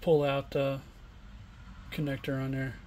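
A middle-aged man giving a casual, unscripted product demonstration in a plainspoken, halting way. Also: medium pitch, completely flat delivery, a little mellow. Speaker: online seller demonstrating used radio equipment. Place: indoor home workshop bench. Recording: room noise, homemade camera recording.

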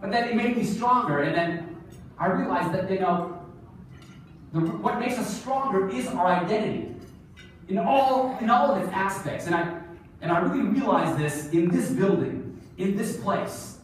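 A man in his forties telling stories as a keynote speaker on stage, lively, asking the audience questions. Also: high-pitched, moderate pace, a little hiss or hum. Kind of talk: storytelling keynote speech. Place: large conference hall, on stage. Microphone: phone camera far from the stage. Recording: echoey hall recorded from far off.

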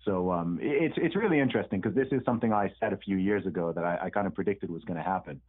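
A man's voice: low voice, fast talker. A man with a mixed British and American accent, answering interview questions in a laid-back, hesitant way, with lots of ums and repeated words. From born in the UK, raised in Saudi Arabia, Nigerian parents. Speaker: guest, rapper. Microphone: remote call line. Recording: tinny phone-like line.

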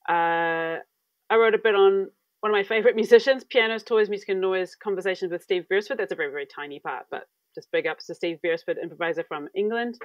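A woman in her thirties from New Zealand giving a conference talk over a video call from a room at home, relaxed and searching for words with many fillers. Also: medium pitch, fast, no room sound. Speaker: academic speaker. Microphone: earphone mic on video call. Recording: dry, dull video-call audio.

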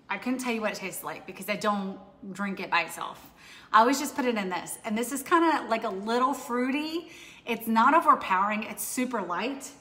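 A woman's voice: mid-range voice, sharp tone, slight background noise. A woman in her forties chatting casually as she demonstrates a drink recipe in her home kitchen, upbeat and rambling with frequent restarts. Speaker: cooking demo host. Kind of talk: casual live cooking demonstration. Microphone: overhead camera from a distance. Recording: echoey kitchen with long reverb.

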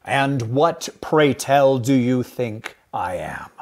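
An actor playing a guarded, haughty guardian who speaks formally and curtly in an audio drama. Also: male voice, medium pitch, lively intonation, slow. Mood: scornful. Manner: formal, curt, dry.

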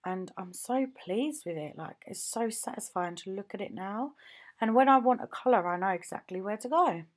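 A woman's voice: medium pitch, animated, normal speed. A woman nail technician from the UK, chatting casually as she shows her home studio on a handheld phone, rambling with lots of ums and likes. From United Kingdom. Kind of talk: casual show-and-tell vlog.

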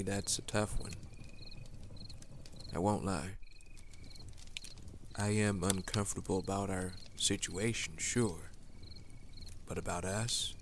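A man playing a tender, uneasy demon slayer in a secret romance, speaking softly and hesitantly in an intimate ASMR roleplay. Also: on the low side. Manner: soft, hesitant, affectionate. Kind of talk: ASMR roleplay, intimate one-sided dialogue. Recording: clear noise of outdoor night ambience, crickets. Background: crickets and night nature ambience.

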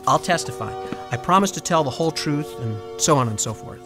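A man's voice: fairly high pitch, animated, brisk, fairly bright tone, soft background music. An actor playing a reluctant, flustered young man as a witness, hesitant and stammering, in a comic audio drama.

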